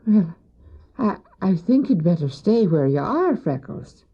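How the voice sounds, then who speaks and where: A woman's voice: mid-range voice, sing-song, a little slow, breathy edge, full character voice. A woman playing a kindly, fussing neighbour lady in an audio drama, with a folksy, exclaiming manner. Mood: concerned, fussing. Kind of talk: scripted audio drama acting. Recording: dull old cassette drama, faint music.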